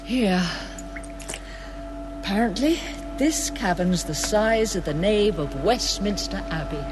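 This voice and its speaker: A guarded gallery manager. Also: female voice, mid-range voice, lively intonation, takes it slowly, music in the background. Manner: clipped, formal and faintly defensive. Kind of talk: radio drama acting.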